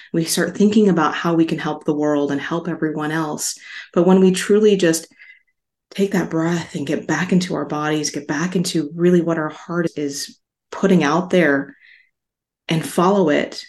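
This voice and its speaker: A middle-aged woman from Hawaii, a podcast guest speaking in a calm, soft, unhurried way. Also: deep voice. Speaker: podcast guest, stress relief author. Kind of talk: calm interview talk.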